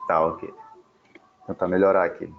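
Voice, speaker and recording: A man's voice: sits low, flat delivery, measured pace, creaky. A man who works as an executive director and consultant, introducing himself on an online panel in a businesslike way. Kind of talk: self-introduction on a web panel. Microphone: video call. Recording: muffled web call with faint noise.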